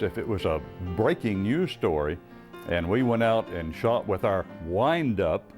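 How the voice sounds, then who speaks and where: A man's voice: on the low side, a little mellow. Older American man, veteran broadcaster, reminiscing. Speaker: veteran former broadcaster, guest. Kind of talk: reminiscing, unscripted talk.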